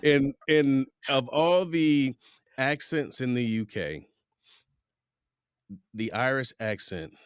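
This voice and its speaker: A middle-aged man from the United States hosting a podcast, telling stories in a rambling, animated way. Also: medium pitch, slow pace, pauses often, clear, resonant tone, dry recording, studio quality. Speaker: podcast host. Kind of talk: casual interview chat.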